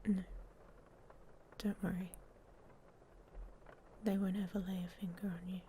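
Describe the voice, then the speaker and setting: A woman playing a hot-tempered, protective she-wolf in an intimate ASMR roleplay, with a husky, breathy voice and a blunt, sardonic manner. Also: mid-range voice, level tone, a little slow, warm tone, a little hiss or hum, close to an everyday voice. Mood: gruff, reassuring. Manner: sardonic, blunt, speaking straight to the listener.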